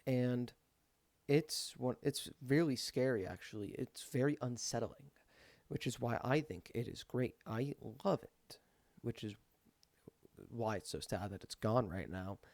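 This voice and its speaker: Gravelly man, casual rambling commentary. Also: medium pitch, measured pace, a little mellow, no room sound. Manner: rambling, informal, peppered with swearing. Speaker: video creator.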